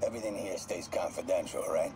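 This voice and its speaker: A man's voice: low voice, fast, music in the background. An actor playing a cold, guarded mob enforcer in a game, talking matter-of-factly as if to his therapist on a recording. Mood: guarded, menacing.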